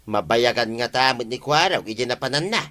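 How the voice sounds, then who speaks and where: A man's voice: mid-range voice, lively intonation, moderate pace, pushed and bright. A man with an Ilocano Filipino accent playing a shifty, scheming villager in a comedy radio drama. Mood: curious.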